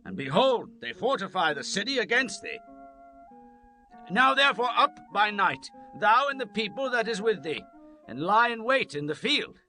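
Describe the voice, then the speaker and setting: A woman's voice: mid-range voice, sing-song, moderate pace, pushed and bright. A woman playing a defiant, urgent character in a dramatized scripture reading, with declamatory archaic diction. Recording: faint music behind. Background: music.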